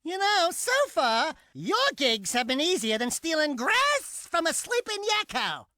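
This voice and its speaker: A man's voice: very high voice, very animated, a little slow, dry recording, clean studio sound, heavily acted. A man voicing a cocky, flirtatious, wisecracking little furry rodent sidekick in a video game. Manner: flirtatious, boastful. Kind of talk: animated video game cutscene acting. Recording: loud game music behind.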